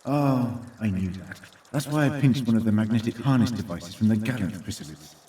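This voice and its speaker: A man's voice: fairly low pitch, mellow, close to an everyday voice. Man playing a wry, commanding old hero, roomy sound. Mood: wry, triumphant. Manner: wry, measured, authoritative. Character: very old male time-traveller hero, wry, righteous, commanding. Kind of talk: audio drama acting. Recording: roomy, distant, with music behind.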